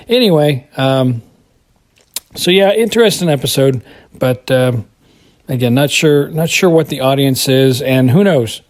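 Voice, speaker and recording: An American man giving casual monologue commentary, rambling and hesitant as he thinks aloud. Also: medium pitch. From United States. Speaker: podcast contributor reviewing a TV episode.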